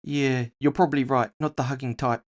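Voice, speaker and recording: A man's voice: mid-range voice, steady tone, brisk, voice pushed up, lightly acted. A man voicing a showy, cocky male orc fighter with slangy, teasing talk in a cyberpunk audio story. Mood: persuasive. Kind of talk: cyberpunk audio fiction character voice.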